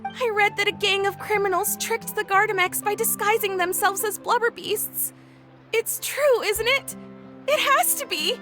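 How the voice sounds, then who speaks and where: Excitable young female researcher, very high voice, sharp bright tone, eager, dramatic, game dialogue over music. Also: moderate pace. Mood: desperate pleading. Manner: gushing, theatrical sales pitch. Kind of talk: video game character dialogue. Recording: background music under the voice. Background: game background music.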